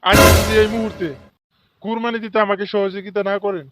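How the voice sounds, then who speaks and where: A man's voice: sits high, flat delivery, fast talker. A man voicing a corrupt, self-righteous office official in a comic cartoon, with a Bangladeshi regional accent and sanctimonious, pious phrasing. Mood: sly, self-justifying. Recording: loud music behind the voice. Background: loud music.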